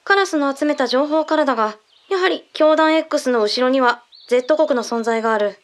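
High-voiced, blunt female agent played by an actress. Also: normal speed, close to an everyday voice. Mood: matter-of-fact, explanatory. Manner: blunt, mannish phrasing, explanatory. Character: brisk, matter-of-fact female intelligence agent briefing a colleague. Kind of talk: Japanese radio drama acting.

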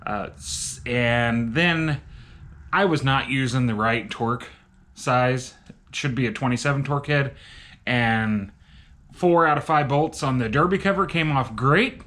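A man's voice: mid-range voice, measured pace, a bit bright. A middle-aged man chatting casually as a vlogger, plain-spoken and laid-back. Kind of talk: casual product review chat. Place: cluttered home garage. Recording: roomy garage echo, background noise.

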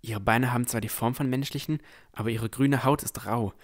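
A man playing a dreamy, curious young villager who narrates a fantasy audio drama in measured tones. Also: mid-range voice, fairly even intonation, close to an everyday voice. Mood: puzzled, tense. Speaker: narrator and lead character.

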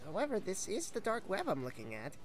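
A woman voicing an excitable, smug teenage boy gamer in an audiobook. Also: mid-range voice, brisk. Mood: condescending. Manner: smug, emphatic.

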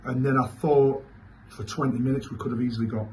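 A man, answering as a football manager after a match in halting, repetitive, emphatic phrases. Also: mid-range voice, fairly even intonation, dark tone. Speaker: football manager giving a post-match interview. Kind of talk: post-match interview answers. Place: small indoor club press room. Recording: clearly noisy room ambience.

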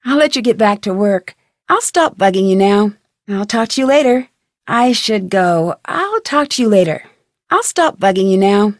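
A woman's voice: medium pitch, expressive, normal speed, no room sound, studio quality, lightly acted. A woman playing an inquisitive, friendly young female detective in a video game, speaking in an earnest, conversational way. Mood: polite. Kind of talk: scripted video game dialogue.

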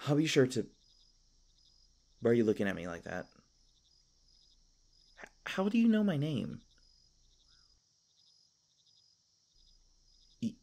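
A man's voice: a little high, a little mellow, exaggerated voice. Man playing a flustered, swoopy-voiced elf park ranger. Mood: flustered, stunned. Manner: stammering, awkward, folksy goofy exclamations. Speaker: voice actor in audio roleplay. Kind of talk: audio roleplay acting.

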